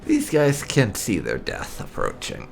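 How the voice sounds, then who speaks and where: A man's voice: on the low side, huge pitch swings, unhurried, creaky, soft background music. A man hosting a game playthrough, commenting on his choices and talking partly in character as he roleplays. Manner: talks in character while narrating his choices. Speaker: gaming channel host.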